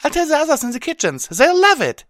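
A man voicing a bubbly, excitable young female cartoon pony, squeaky and exuberant. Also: quick pace, dry recording, clean studio sound. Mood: excited, cheerful.

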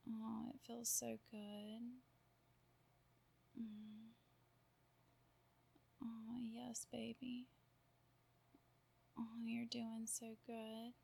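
Woman, fairly high and very even pitch, unhurried, soft cooing whisper for intimate roleplay. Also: vocal fry, breathy edge. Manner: soft cooing, half-whispered, drawn-out murmurs. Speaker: roleplay performer.

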